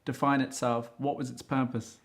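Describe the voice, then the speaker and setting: A man in a relaxed interview, talking in a chatty way and often cutting in with his own remarks. His voice sits at an ordinary middle pitch for a man, and his easy laugh comes through often. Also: normal speed. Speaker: interviewer and show host. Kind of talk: casual interview chat.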